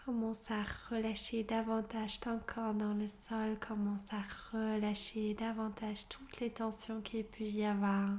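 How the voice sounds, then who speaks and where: A woman leading a guided relaxation as a yoga nidra teacher, soothing and unhurried, often in a breathy half-whisper. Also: fairly high pitch, monotone, a little mellow. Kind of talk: guided meditation, soft-spoken relaxation instruction.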